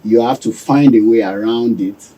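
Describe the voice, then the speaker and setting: Calm Nigerian man, husky and mellow. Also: mid-range voice, far from the mic, low-quality recording. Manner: calm, measured, reflective. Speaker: business owner guest. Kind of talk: radio interview answers. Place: radio studio.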